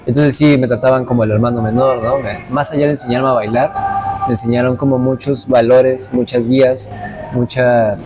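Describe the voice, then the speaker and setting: A man's voice: medium pitch, flat delivery, normal speed, music in the background. A man in his late twenties from Veracruz, a dance teacher, talking reflectively and earnestly in a casual interview. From Veracruz, Mexico. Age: late 20s. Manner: reflective, earnest, with frequent restarts.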